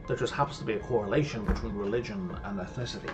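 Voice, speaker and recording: A man's voice: lowish voice, very fast, muffled recording. A man casually thinking aloud while playing a mystery game, muttering to himself and explaining history as he goes. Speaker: gameplay streamer. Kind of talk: casual let's-play commentary. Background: game music.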